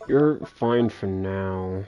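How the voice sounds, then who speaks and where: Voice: deep voice, extremely slow, highs cut off, faint music behind. A game streamer giving casual livestream commentary, muttering and thinking aloud while playing.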